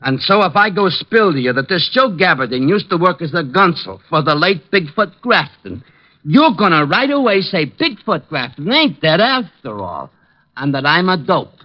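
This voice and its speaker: A man playing a slow-witted, whiny police sergeant who takes offense easily, in comic 1940s radio style. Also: on the high side, sing-song. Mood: sulky, offended. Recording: dull lo-fi old radio recording.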